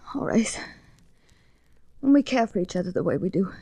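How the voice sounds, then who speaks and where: A woman's voice: mid-range voice, animated, normal speed, warm tone, husky, no room sound. An actress playing an earnest, sheltered young woman, tender and anxious, in an emotional 1940s radio melodrama. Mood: pleading. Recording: restored vintage 1940s radio recording.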